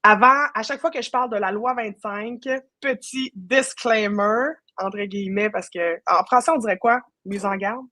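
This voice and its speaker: A woman's voice: medium pitch, moderate pace, sharp tone, no echo. A woman with a Quebec French accent hosting a casual live online session, chatty and informal, dropping in English words. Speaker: web marketing professional. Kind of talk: casual live webinar chat.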